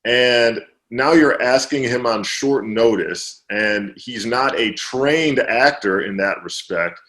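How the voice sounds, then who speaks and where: A man being interviewed as an author, explaining at length in relaxed conversation, with fillers and restarts. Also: medium pitch, slow pace.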